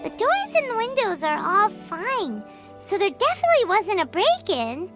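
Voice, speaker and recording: A woman voicing a small, excitable, food-loving fairy companion in a video game, with a squeaky childlike voice and expressive delivery. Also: moderate pace, heavily acted. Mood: puzzled. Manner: chirpy, expressive, speaks of self in third person. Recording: music behind the voice.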